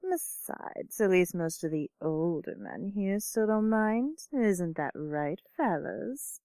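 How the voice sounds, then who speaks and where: A woman's voice: fairly low pitch, expressive, takes it slowly, mellow, no room sound, clean studio sound. A woman playing a brazen, flirtatious, lazy neighbour who teases, in an amateur audio drama. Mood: teasing.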